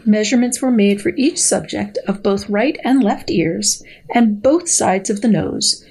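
A woman's voice: medium pitch, a little mellow, breathy edge. A woman speaking as a guest scientist, reading research aloud and commenting in an articulate, precise way. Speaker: guest biologist.

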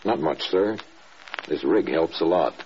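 A man's voice: extremely low pitch, expressive, unhurried, darkish tone. An American man acting a stoic injured colonel in a mid-century radio drama, speaking with dutiful understatement. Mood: subdued, stoic. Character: stoic injured male colonel in hospital bed. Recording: muffled old radio with faint noise.

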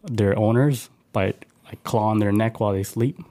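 A man's voice: fairly low pitch, moderate pace, warm tone. An American man chatting casually as a podcast co-host, joking around in slangy, sweary language. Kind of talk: casual comedic chat.

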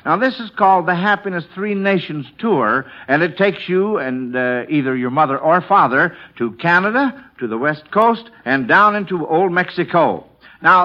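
American guy hosting an old 1940s radio quiz, higher voice, teacherly and encouraging, dull old recording. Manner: schoolmasterly, encouraging. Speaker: quiz show host.

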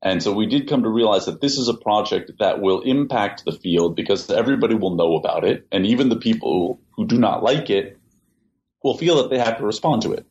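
A man answering interview questions at length, in a measured way, often repeating words as he thinks. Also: on the low side, lively intonation, darkish tone. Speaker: interview guest, translator. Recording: dull lo-fi sound, faint hiss.

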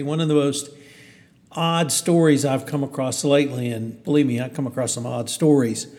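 A man hosting a news podcast, reading and commenting on stories in a conversational, halting way. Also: a little high, darkish tone. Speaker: podcast host. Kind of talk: news commentary, semi-scripted. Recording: hall-like reverberant room.

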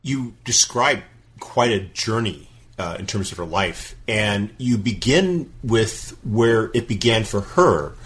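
A man hosting an interview podcast, asking measured, polite questions with occasional hesitations. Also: on the low side, animated, fairly bright tone. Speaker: podcast host.

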